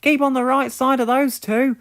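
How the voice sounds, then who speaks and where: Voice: sits high, level tone, normal speed, fairly bright tone, pitched above the performer's own voice. A storybook narrator voicing cheeky, mocking railway trucks in a screechy, sneering, mischievous manner. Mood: wary.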